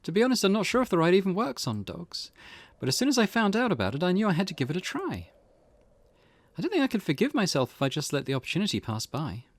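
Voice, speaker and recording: A man's voice: high-pitched, wildly swooping pitch, fast, dry recording, voice pushed up, full character voice. A man voicing a perky, teasing young woman who loves the occult, playful and eager, in a visual novel read-aloud. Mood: earnest, wistful.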